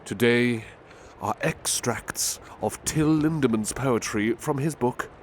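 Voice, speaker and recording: A man voicing a devoted, big-hearted butler who softly reads poetry aloud in an ASMR roleplay. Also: medium pitch, a little hiss or hum, close to an everyday voice. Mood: tender, calm. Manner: soft, unhurried, tender recitation. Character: gentle devoted male butler servant. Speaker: butler reading poetry to the listener.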